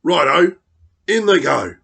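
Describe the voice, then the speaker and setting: A man's voice: fairly high pitch, big pitch swings, slow pace, creaky, bright, forceful tone, husky, pitched above the performer's own voice, exaggerated voice. A man voicing a sly, boastful male dingo who cooks with glee in a children's storybook. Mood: gleeful, sly. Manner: gleeful, boastful.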